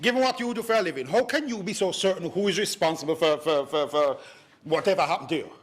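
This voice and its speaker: A man's voice: a little high, animated, quick pace, natural voice. A man playing a flustered, evasive married Jamaican businessman in a comic stage play, stammering and exasperated. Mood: indignant. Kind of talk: comedic stage play acting.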